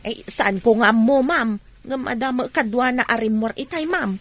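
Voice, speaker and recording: A woman acts a hardworking young village daughter in a radio play. Her voice is fairly high and breathy, with a Filipino accent and a dutiful manner. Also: normal speed, a bit bright. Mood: polite. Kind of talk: Filipino radio drama acting.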